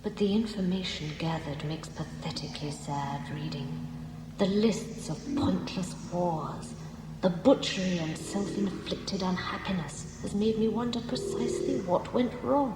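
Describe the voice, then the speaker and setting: A woman's voice: on the low side, a little slow, close to an everyday voice. Echoing, imperious female ship computer, played by an actress. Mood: grave disapproval. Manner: grave, formal, imperious. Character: cold, judgmental female ship computer intelligence, superior and stern. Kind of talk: 1980s radio science fiction drama acting. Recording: echoing, noisy old radio broadcast.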